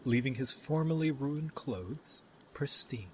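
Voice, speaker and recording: Man narrating fantasy, medium pitch. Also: expressive, takes it slowly, a little hiss or hum. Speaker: narrator, game-style storyteller.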